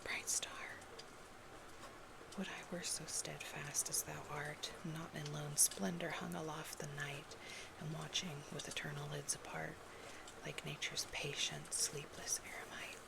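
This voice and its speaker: A woman performing whispered ASMR roleplay as a poetry reader, breathy and gentle, in a deliberate manner. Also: sits low, little pitch movement, poor audio. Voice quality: breathy whisper with creaky edges.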